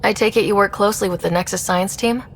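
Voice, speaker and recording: A woman's voice: medium pitch, quick pace, a little hiss or hum, close to an everyday voice. A woman playing an inquisitive young space explorer in a video game, speaking in a direct, casual, questioning way. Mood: curious. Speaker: player protagonist. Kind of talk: scripted video game dialogue.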